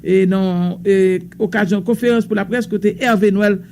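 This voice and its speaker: A man's voice: sits high, steady tone, normal speed, darkish tone, a little hiss or hum. A man with a Haitian accent reading radio news in a loose, hesitant style. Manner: reads bulletins in a loose mix of French and Creole, with hesitations. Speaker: news presenter.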